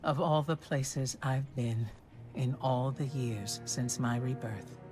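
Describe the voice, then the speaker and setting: A grave, commanding warrior-mage. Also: female voice, rumbling low, over music. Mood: solemn. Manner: solemn, measured, commanding. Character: grave, wise woman warrior-mage, weary leader. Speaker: game character. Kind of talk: video game cutscene acting. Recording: game mix with loud score.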